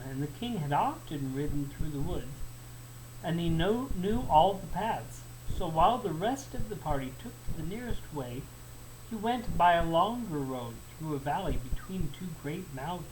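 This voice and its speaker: Higher-voiced man telling a folktale. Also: expressive, normal speed, low-quality recording. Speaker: storyteller. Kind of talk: dramatic folktale narration. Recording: faint background noise.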